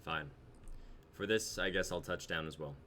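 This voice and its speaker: A man's voice: low voice, moderate pace, sharp tone, slight background noise. A man playing a stern, authoritative commander in a dramatic reading of a sci-fi script. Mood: resigned, firm. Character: gruff male commander of a sci-fi military coalition.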